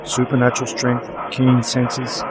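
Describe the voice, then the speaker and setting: A man's voice: medium pitch, monotone, measured pace, a crowd in the background, lightly acted, low-quality recording. A man playing a weary, sardonic werewolf private investigator, narrating a noir radio drama in a dry, wry manner. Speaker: narrator and lead.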